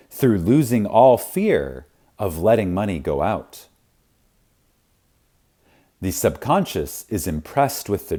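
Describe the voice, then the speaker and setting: A man with a dark, relaxed voice reads a spiritual text as an audiobook narrator. His delivery is very slow and reverent, with animated intonation. He leans on key words and leaves deliberate pauses for effect. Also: lowish voice. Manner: reverent, emphatic, dramatic pauses. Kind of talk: scripted audiobook reading of spiritual teaching.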